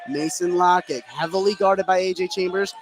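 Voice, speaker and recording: A man with a fairly high voice gives live play-by-play of a basketball game. His delivery swells as the action heats up. Clear gym noise runs behind him. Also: moderate pace. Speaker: play-by-play announcer. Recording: clearly noisy gym ambience.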